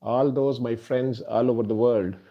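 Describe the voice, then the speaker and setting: A man whose first language is Urdu, a doctor, earnestly addressing listeners in a halting way with many fillers. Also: mid-range voice, steady tone. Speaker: doctor and healer. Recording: dull lo-fi recording.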